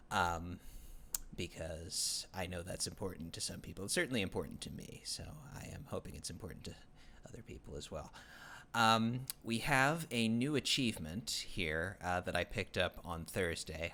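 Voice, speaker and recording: A man with a very low voice hosts his own game livestream in loose, casual chat. His delivery is halting and rambling, full of ums and restarts. His humor is soft-spoken and dry, aimed mostly at himself. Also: slight background noise. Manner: dry, self-deprecating. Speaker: livestream host. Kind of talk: casual gaming stream chat.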